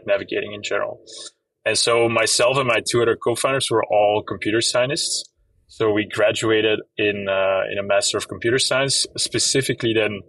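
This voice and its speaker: Flemish-accented Belgian man, low voice. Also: dry recording, far from the mic, low-quality recording. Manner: relaxed, many fillers, explains at length. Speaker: app company co-founder, guest. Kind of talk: casual interview.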